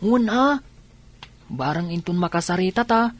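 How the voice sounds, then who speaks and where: A woman's voice: fairly low pitch, expressive, normal speed, lightly acted. A woman playing a polite, obliging young grandchild in an Ilocano radio drama. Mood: confiding.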